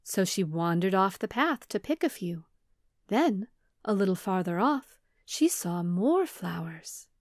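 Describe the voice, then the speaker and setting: A woman narrates a children's story in a medium-pitched voice. She enunciates expressively, as if for young listeners, and the sound is dry with no room echo. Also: sing-song, a little slow.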